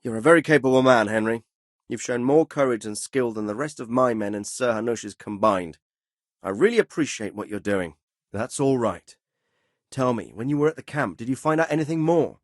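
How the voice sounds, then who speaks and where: An actor playing a middle-aged medieval nobleman commander in a video game, grave, fatherly and authoritative, speaking in a measured, formal way. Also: medium pitch, animated, no room sound, clean studio sound, close to an everyday voice. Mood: appreciative, earnest. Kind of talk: dramatic video game dialogue acting.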